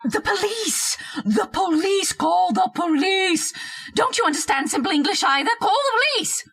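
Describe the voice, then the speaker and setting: A domineering wife in an old-time radio horror play. Her voice is very high and swings dramatically. She scolds and orders people about with histrionic, haunted urgency. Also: lively intonation, moderate pace, a bit bright, exaggerated voice. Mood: shrill, bossy. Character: shrill domineering wife, frightened by a ghostly intruder.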